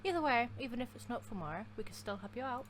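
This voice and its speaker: A woman's voice: fairly high pitch, animated, quick pace, a little hiss or hum. A young woman giving chatty, jokey casual commentary as she plays a game. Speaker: gaming video host. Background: game music.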